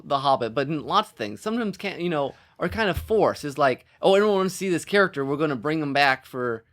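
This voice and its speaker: A man talking casually and emphatically as a podcast co-host, in an informal, animated discussion. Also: on the high side, fast. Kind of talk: casual conversational discussion of film and storytelling.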